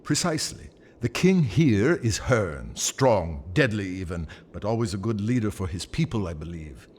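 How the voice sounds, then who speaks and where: A man's voice: fairly low pitch, very animated, normal speed, darkish tone, husky, long reverb tail. An actor playing an erudite, self-assured male guide in a video game, articulate and dryly pompous. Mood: pompous, musing. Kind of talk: video game cutscene acting.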